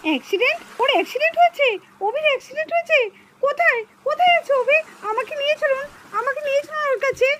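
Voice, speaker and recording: A woman's voice: very high-pitched, expressive, fast talker, fairly bright tone, a little hiss or hum, rough recording. A woman voicing a frightened young wife, pleading and crying out for help. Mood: worried. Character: frightened young wife, trusting then desperate. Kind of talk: amateur home-video drama.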